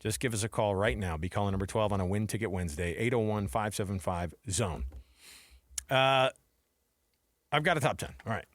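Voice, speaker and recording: A man's voice: fairly low pitch, brisk, no room sound. American man, dry close-miked sports radio host. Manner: chatty, colloquial. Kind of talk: casual sports radio banter. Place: radio studio. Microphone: close studio microphone.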